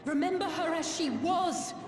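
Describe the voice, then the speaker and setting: An actress playing an earnest, passionate heroine in a video game, speaking formally and with conviction. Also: female voice, very high-pitched. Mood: firm pleading. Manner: formal, impassioned, measured speech. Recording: echoing hall reverb with music behind.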